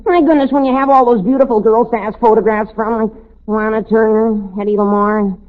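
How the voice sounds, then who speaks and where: An actor playing an earnest, indignant high school boy in love, halting and slangy, in a 1940s radio comedy. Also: steady tone, lightly acted. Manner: halting, boyish slangy talk. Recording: thin, tinny old-time radio recording.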